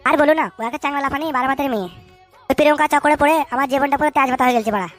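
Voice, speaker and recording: A very fast, high voice for a shifty, lovestruck cartoon dog , acted out by a performer. The talk is foul-mouthed slang, blustering and tripping over itself, with music behind it. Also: a bit bright. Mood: excitable, flustered. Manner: blustering, stammers when flustered, colloquial and foul-mouthed. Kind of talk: comic cartoon dub with street slang.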